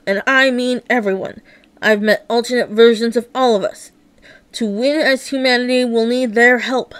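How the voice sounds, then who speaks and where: A woman's voice: fairly high pitch, clean tone, no room sound. A woman narrating a dramatised comic read-along, acting out every character and sound effect herself. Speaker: narrator reading a comic aloud.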